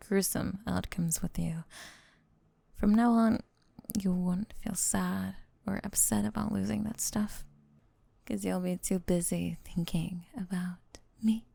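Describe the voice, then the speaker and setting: Breathy, whispering possessive lamia girl, acted by a woman. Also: mid-range voice, steady tone, warm tone, dry recording. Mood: soothing, possessive. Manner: slow, tender, coaxing. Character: possessive, lovestruck young female lamia roommate, sweetly menacing. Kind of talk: ASMR roleplay, intimate whispering.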